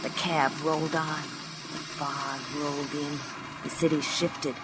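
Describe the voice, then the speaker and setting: A woman's voice: sits low, flat delivery, a little slow, heavy background noise. An actress playing a tough, wisecracking female private eye in a noir science-fiction radio play, with a streetwise, sardonic delivery. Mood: wry narrating. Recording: music under the voice.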